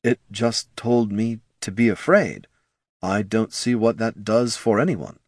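A man's voice: lowish voice, measured pace, dry recording, studio quality, voice pushed up. A man voicing a puzzled, plain-spoken knight commander in a fantasy audiobook, serious and blunt.

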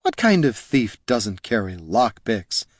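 A man's voice: lowish voice, sing-song, slow pace, no room sound, full character voice. A man voicing a caustic woman spellcaster in a fantasy audiobook, with a dry, cutting manner. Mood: sardonic. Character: caustic, sniping female adventurer spellcaster.

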